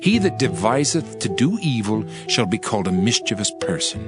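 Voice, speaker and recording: A man's voice: lowish voice, very animated, moderate pace. A man giving a dramatized Bible reading in a solemn, emphatic, oratorical manner. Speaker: scripture reader. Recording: music behind the voice. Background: music.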